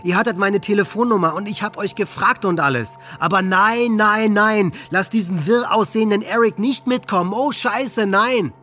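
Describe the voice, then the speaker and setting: A man's voice: high voice, normal speed, few pauses, sharp tone, faint music behind. An actor playing a hate-filled, resentful teenage boy in a German radio drama, bitter, boastful and profane. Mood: wounded, accusing. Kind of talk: German radio docudrama monologue.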